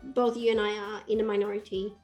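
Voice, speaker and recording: Woman from malaysia hosting a podcast from home, warm mellow voice, video call, thoughtful and reassuring. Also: medium pitch, level tone, fairly quick, faint music behind. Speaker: podcast host. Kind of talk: casual video-call interview. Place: home room.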